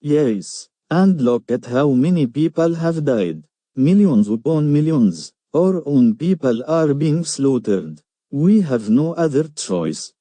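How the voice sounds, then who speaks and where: A man's voice: on the high side, very animated, takes it slowly, mellow, no room sound, exaggerated voice. A man playing a despairing, war-weary national leader in an amateur audio drama, somber and defeated.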